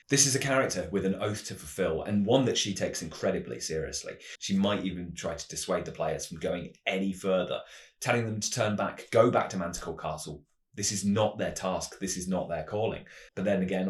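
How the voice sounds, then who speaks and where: Very deep-voiced man in a roomy space. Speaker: host, game master giving adventure advice. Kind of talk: tutorial narration of a fantasy roleplaying scenario.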